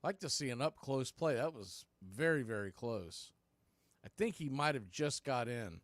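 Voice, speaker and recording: A man's voice: mid-range voice, lively intonation, normal speed, no echo. A man doing live baseball play-by-play in clipped phrases with conversational asides. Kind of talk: live sports commentary.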